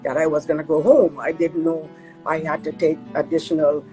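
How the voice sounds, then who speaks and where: A woman's voice: deep voice, flat delivery, a little fast, dark tone, faint music behind, low-quality recording. A woman with a Barbadian accent, a nonprofit co-founder, sharing a personal testimony in an earnest, reflective way with some hesitant fillers.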